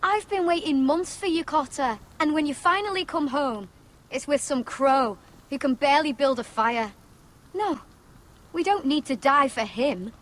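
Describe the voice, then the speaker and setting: A young wildling woman has a high voice and a commanding edge. She is blunt, suspicious and protective, delivered as dramatic fantasy game acting. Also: animated, unhurried. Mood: stern, distrustful. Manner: sharp-tongued, commanding. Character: blunt, suspicious, protective free-folk sister.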